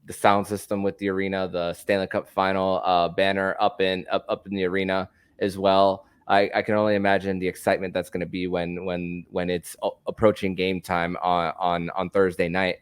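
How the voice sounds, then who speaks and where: A man hosting a casual hockey podcast, who talks haltingly and repeats words as he forms his thoughts. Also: low-pitched, level tone, smooth, dry recording, studio quality. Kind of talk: casual sports podcast chat.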